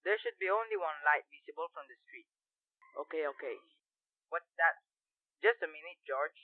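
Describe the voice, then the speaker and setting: A man's voice: a little high, normal speed, dry recording. A young man playing a businesslike criminal in a radio drama, heard through a telephone filter. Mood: cold, businesslike. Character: businesslike criminal plotting coolly over the telephone. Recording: dull, band-limited telephone-line sound.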